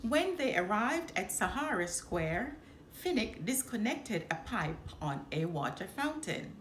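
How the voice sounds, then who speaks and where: A woman reading a children's storybook aloud with expressive, deliberate phrasing. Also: medium pitch. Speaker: storybook reader. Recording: roomy echo, some background noise.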